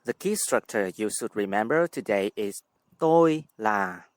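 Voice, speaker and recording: A man from Vietnam with a Vietnamese accent, a native speaker teaching his language in English with careful, deliberate explanations. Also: on the low side, expressive, no room sound, studio quality. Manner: careful, deliberate, spells out words. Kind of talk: scripted language lesson.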